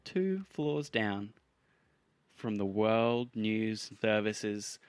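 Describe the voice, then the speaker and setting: A man's voice: lowish voice, expressive, very slow, smooth, no room sound. A man playing a preachy, fervent pirate radio rebel. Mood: scheming. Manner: rhetorical, preachy speechmaking. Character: fervent drugged-up pirate radio host professor, male rebel. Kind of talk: cyberpunk audio drama role-play. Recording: music behind the voice.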